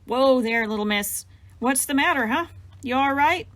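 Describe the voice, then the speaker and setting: A woman's voice: sits high, moderate pace, a bit bright. A woman voicing a kindly, awkward man who runs a construction crew, talking in a hesitant, folksy way.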